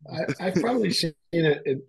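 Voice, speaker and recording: A man in his sixties talking casually on a podcast as a guest, in a measured, halting and reflective way. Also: fairly high pitch, animated, vocal fry, breathy edge. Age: 60s. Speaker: podcast guest and father of the host.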